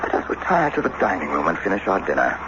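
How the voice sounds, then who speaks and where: An actor playing a shrewd, excitable statesman and husband in a period radio drama, theatrical and prone to stammer when flustered. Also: low-pitched, fast. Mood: wry, scheming. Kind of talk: old-time radio drama acting. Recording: muffled, noisy vintage radio recording.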